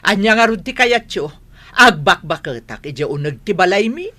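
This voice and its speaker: A woman's voice: mid-range voice, animated, normal speed, sharp tone. An actress with an Ilocano accent playing a defiant, argumentative married mother hooked on gambling, in a radio drama. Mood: indignant. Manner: argumentative, indignant.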